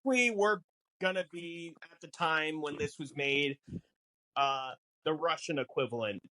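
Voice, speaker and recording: A man's voice: fairly high pitch, unhurried, sharp tone, dry recording, low-quality recording. A man guesting on a podcast, explaining film lore casually with theatrical, mock-exasperated banter.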